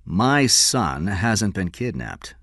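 Casting: curt, guarded rich businessman for an audiobook, read by a man, low and flat, terse delivery. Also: takes it slowly, husky, dry recording, studio quality, heavily acted.

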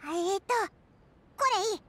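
Very high, childlike fairy sidekick. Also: female voice, measured pace. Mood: curious. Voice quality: squeaky, childlike. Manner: boyish casual speech, excitable. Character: tiny floating fairy companion, childlike, cheerful, brash. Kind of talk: Japanese game character acting. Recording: music playing behind the voice.

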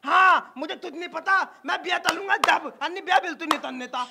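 Squeaky, lisping childish groom. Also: male voice, big pitch swings, fast, noisy background. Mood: eager, demanding. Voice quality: heavy lisp, garbled consonants. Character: childish, demanding young groom who cannot talk straight.

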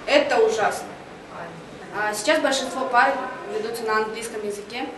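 Young woman from kyrgyzstan, frank, fed-up, highish voice, far off in an echoey stairwell. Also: steady tone, a little fast. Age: young adult. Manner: frank, exasperated. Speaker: international student. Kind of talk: casual interview. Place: stairwell indoors. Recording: distant echoey stairwell with hiss.

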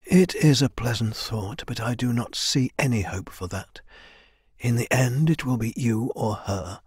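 A man's voice: medium pitch, breathy edge, no echo, clean studio sound. Man voicing a weary, solemn old female elder. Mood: grave. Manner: solemn, measured, quiet. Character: weary, dying female elder, grave dragon mentor.

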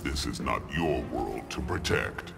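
An actor playing a towering alien tyrant god in a video game, cold, imperious and full of pompous contempt. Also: male voice, very deep voice, lively intonation, a little slow, creaky, exaggerated voice. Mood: cold menace. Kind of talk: video game villain acting. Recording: loud music and effects behind.

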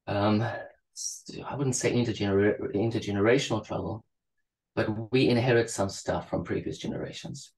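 South african guy on a video call, lowish voice, thoughtful, restarts a lot. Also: low-quality recording. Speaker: psychologist guest. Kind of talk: interview over video call. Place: small room indoors. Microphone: video call webcam.

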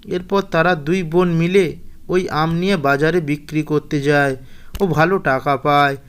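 A man's voice: a little high, steady tone, unhurried, smooth. A man narrating a scripted children's bedtime story as the storyteller of a cartoon.

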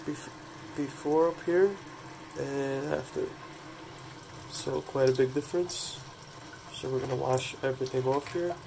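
Stuffy-voiced man with a flat delivery. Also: mid-range voice, vocal fry, background noise. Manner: relaxed, halting, with restarts and self-corrections. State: stuffy from a cold. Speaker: hydroponics gardening channel host. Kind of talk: casual how-to demonstration. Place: home kitchen at a running sink. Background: running tap water.

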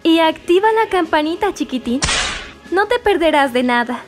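Tiny squeaky cartoon mascot. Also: female voice, animated, moderate pace, soft background music. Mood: perky. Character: cute perky female notification bell mascot. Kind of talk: cartoon ad skit.

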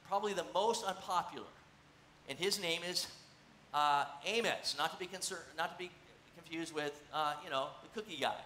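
A middle-aged man preaching a casual, conversational sermon from a church stage, animated and gesturing as he teaches. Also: sits high. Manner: animated, conversational, gesturing while seated on a stool. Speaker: pastor. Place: church stage, large room. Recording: echoey church room, faint noise.

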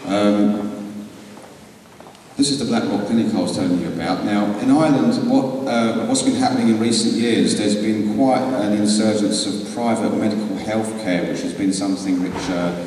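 British man, 40s, halting conference speaker; lowish voice, distant, very roomy hall sound. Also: darkish tone, slight background noise. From England. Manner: halting, many ums and uhs. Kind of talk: public talk. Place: large hall on a stage. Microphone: handheld mic. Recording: echoey hall from far off.

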